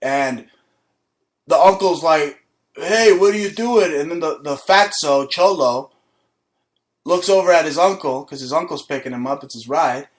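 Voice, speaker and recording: A man's voice: on the high side, normal speed. Brash, swearing male show host. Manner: aggressive, swearing, boastful. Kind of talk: profane comedic rant and storytelling.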